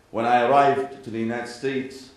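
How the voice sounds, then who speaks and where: A man with an Iraqi Arabic accent, an imam giving a formal public address in deliberate, measured phrases. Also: medium pitch. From Iraq and Kuwait. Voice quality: frequent throat clearing. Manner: deliberate, measured phrasing with pauses and repeated parallel sentences. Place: large indoor venue, speaking to a gathering. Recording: very echoey hall, faint background noise.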